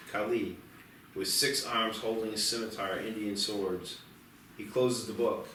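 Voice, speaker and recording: Low-voiced man narrating in an echoey room. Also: a bit bright, slight background noise. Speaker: screenplay narrator at a table read. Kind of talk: scripted narration, reading stage directions. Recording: distant echoey room, clear music behind.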